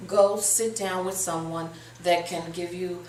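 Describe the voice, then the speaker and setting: A woman's voice: lowish voice, steady tone, a little slow, darkish tone, slight background noise. A woman of Puerto Rican background hosting a talk show, speaking earnestly and candidly about her own struggles and encouraging others to get help. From Puerto Rico. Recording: roomy sound from a distant mic.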